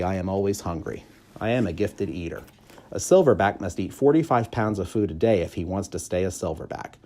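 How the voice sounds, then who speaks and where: Low, mellow man reading a story. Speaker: audiobook reader, school staff member. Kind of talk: expressive storybook narration, first person.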